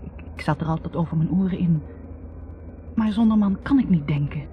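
An actress playing a candid, intense young student who analyses herself haltingly, in a confessional 1960s radio drama monologue. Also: female voice, lowish voice, lightly acted. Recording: muffled, noisy 1960s radio recording.

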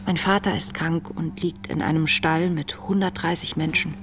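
A woman playing a compassionate young camp inmate reading out her letters, measured and reflective, in a radio play. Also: low-pitched, steady tone, background noise, close to an everyday voice. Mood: reflective, tender. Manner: reflective, measured, sometimes hushed. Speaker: lead actress, letter reader. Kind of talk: intimate literary letter narration in a radio play.

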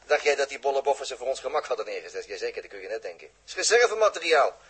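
A man acting a down-to-earth spaceship repairman in a 1960s radio drama, chatty and wry, with a husky voice. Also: medium pitch, sing-song, racing, fairly bright tone. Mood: wry, practical. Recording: husky old radio recording.

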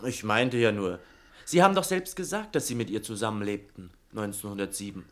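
A man's voice: lowish voice, a little fast, fairly bright tone, natural voice. An actor playing a young, persistent man in a radio play, polite, deferential and coaxing. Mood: prying, stung. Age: young adult. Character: young, eager, persistent male visitor, deferential yet prying.

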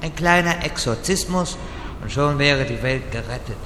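Guy doing fed-up evil sorcerer, tense bright voice, big reverb, comedy audio drama. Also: normal speed, natural voice. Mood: exasperated. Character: Medivh, exasperated possessed evil wizard. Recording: echoey hall reverb, rumble behind.

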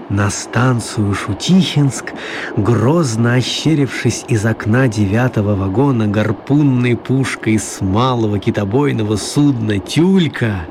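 A man narrating a children's radio story in an expressive, mock-grand dramatic style. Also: mid-range voice. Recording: clear background noise and ambience.

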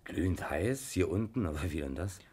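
An actor playing an outwardly helpful but evasive suspect in a 1960s radio crime drama, talking in a hesitant, folksy way. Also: male voice, low-pitched, lively intonation, moderate pace, a little mellow, slightly rough. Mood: skeptical.

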